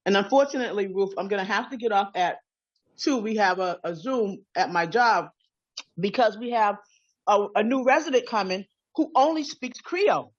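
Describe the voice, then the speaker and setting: A woman's voice: medium pitch, moderate pace, dull audio. A woman who works in a group home, speaking on an online call. She talks conversationally, asks questions and repeats words.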